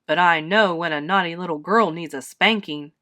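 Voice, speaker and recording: A woman voicing a stern, solemn grown-up male bear from a storybook, measured and authoritative. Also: on the low side, sharp tone.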